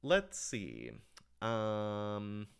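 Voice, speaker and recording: Man, very low pitch, smooth resonant tone, casual Q&A talk, thinking aloud, enthusiastic. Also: wildly swooping pitch, very slow. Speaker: writer-director of audio dramas answering questions.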